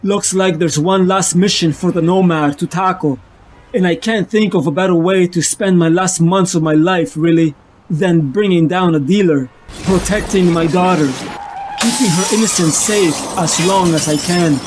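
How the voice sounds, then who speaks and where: A man's voice: high-pitched, normal speed. A man playing a tormented, ailing vigilante losing his sanity, narrating his story as a brooding, confessional monologue. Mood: brooding, troubled. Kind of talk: dramatic first-person comic narration.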